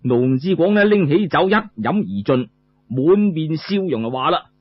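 A man's voice: a little high, lively intonation, unhurried, faint music behind. A man with a Cantonese accent narrating a traditional tale in a colloquial, phrase-by-phrase way. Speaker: storyteller. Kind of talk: traditional oral storytelling narration. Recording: muffled lo-fi recording.

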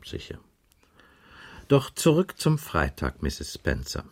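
An actor in a German radio crime play playing a patient, wry police inspector who questions in a dry, probing way. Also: male voice, extremely low pitch, wildly swooping pitch, slow pace, whispered, heavily acted. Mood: dry, methodical. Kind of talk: 1980s German radio drama dialogue.

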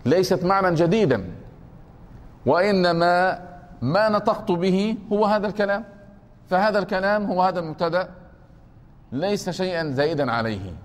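A man teaching a grammar class, explaining slowly and repeating points, often stopping to ask whether it is clear. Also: high voice. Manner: deliberate, repeats points and keeps asking if it is clear. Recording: long reverb of a hall.

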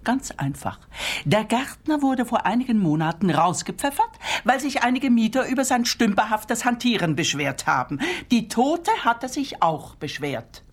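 Fussy, swooping, husky eccentric woman. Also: on the high side, lively intonation, moderate pace. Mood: pedantic, conspiratorial. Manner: pedantic, dramatic. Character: eccentric, fussy female client with pedantic vocabulary. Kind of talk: radio crime comedy acting.

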